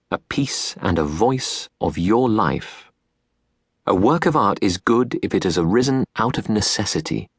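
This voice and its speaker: Low-voiced man reading literary audiobook, dry sound. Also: no room sound. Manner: measured, earnest, deliberate phrasing. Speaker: audiobook narrator. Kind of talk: literary audiobook narration of letters. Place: recording studio. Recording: dry, close studio recording.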